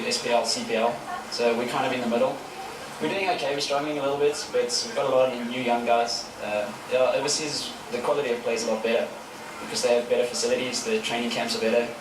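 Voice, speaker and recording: A man, a paintball player chatting in an outdoor interview with lots of fillers and restarts. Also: mid-range voice. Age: 30s. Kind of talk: casual interview answers. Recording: echoey, far from the mic, with noise.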